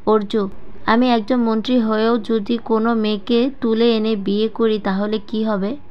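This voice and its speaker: A woman's voice: on the high side, flat delivery, moderate pace, close to an everyday voice. Commanding male minister, brooding and blunt, romance story, voiced by a female narrator, slightly dull recording. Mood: brooding, determined.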